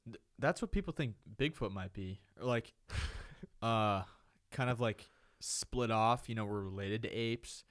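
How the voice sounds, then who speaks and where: Low-voiced young man in teasing podcast chat. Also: unhurried, fairly bright tone, no room sound, studio quality. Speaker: podcast co-host. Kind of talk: casual podcast banter.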